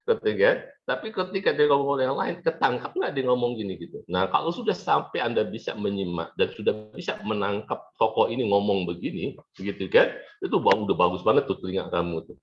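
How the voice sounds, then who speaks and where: A man's voice: lowish voice, lively intonation, brisk. A man giving an informal classroom lecture as a language teacher, conversational and repetitive, often tagging his points with check-in words. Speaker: lecturer in an Arabic language course. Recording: dull lo-fi lecture audio.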